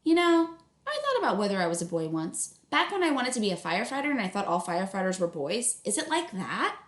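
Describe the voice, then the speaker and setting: A woman's voice: mid-range voice, big pitch swings, fast, roomy sound, close to an everyday voice. A woman voicing a young schoolgirl, a curious and loyal friend who talks bluntly and earnestly, in a storybook reading.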